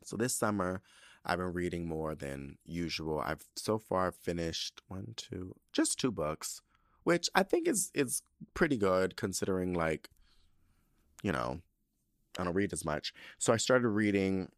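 Animated, profane man in his thirties. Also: deep voice, measured pace, no room sound, studio quality. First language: not Spanish. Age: 30s. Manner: animated and profane, with loose, rambling asides. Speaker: podcast host. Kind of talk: casual solo podcast talk.

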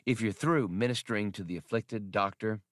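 A man's voice: on the low side, brisk, fairly bright tone, no room sound, natural voice. A curt woman adventurer in a space audiobook , voiced by a man in close to his own voice. The delivery is businesslike and no-nonsense. The sound is very dry. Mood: dry impatience.

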